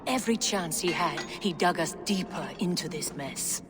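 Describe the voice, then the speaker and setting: A woman playing a haughty low-voiced goddess queen. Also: moderate pace, natural voice. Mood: cold, bitter. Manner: haughty, cutting. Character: proud, wronged goddess queen, sharp and distrustful. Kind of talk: video game cutscene acting. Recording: reverberant hall with music behind.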